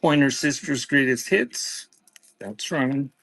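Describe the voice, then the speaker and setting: A male live auction host with a mid-pitched voice. He announces each item in brief, clipped phrases. Between lots he slips into casual chat with the people watching. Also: unhurried, gravelly, fairly bright tone. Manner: clipped announcements mixed with casual asides to viewers. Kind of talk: live-stream sales auction, calling out items in short phrases.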